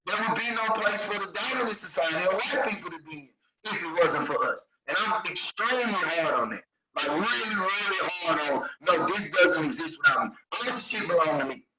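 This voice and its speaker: A man's voice: high-pitched, animated, a little fast, hardly any pauses, echoey room, recorded from a distance, a loud crowd, low-quality recording. A man, speaking in an emphatic, assertive way in a group discussion and repeating points for stress. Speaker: discussion participant. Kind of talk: passionate opinion in group discussion.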